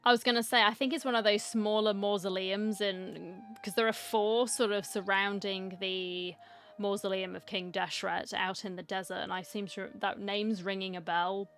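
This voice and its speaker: A woman's voice: mid-range voice, fairly even intonation, moderate pace, fairly bright tone. A woman with a British accent giving casual, dry and cheeky commentary while playing a game. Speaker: game streamer.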